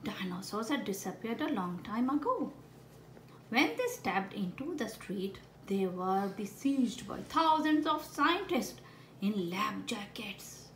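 A woman reads a children's picture book with very animated, swooping intonation. She takes her time and plays up the storytelling in a fairly high voice. The recording is roomy, with some background noise. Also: slow pace. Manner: exaggerated storytelling with playful asides to children. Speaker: children's story reader with a frog puppet. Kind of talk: expressive picture-book read-aloud. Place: indoors at a wooden table.

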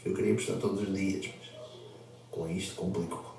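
A young man, hoarse from a cold, talking slowly and haltingly in a casual vlog. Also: low-pitched, steady tone, dark tone, very echoey, distant mic, slight background noise. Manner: slow, halting, full of fillers and restarts. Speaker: vlogger in a clip being reacted to.

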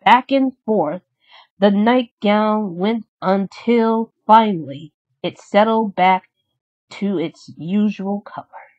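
A woman reading a children's story aloud as scripted narration, in a deliberate manner. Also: mid-range voice, darkish tone. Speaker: storybook reader. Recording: slightly dull, lo-fi sound.

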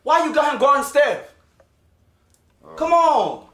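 Sketch actor doing a loud teasing player ribbing teammates. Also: male voice, extremely high pitch, sing-song, slow, heavily acted. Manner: loud, teasing, shouting between laughs. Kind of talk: improvised comedy sketch. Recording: echoey room clip.

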